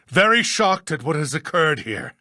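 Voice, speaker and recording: A stern, self-important judge from a mystery game. The delivery is pompous and formal with wide swings of pitch, recorded very dry. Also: sing-song, moderate pace, sharp tone, dry recording. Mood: solemn, grudging. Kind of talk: video game voice acting.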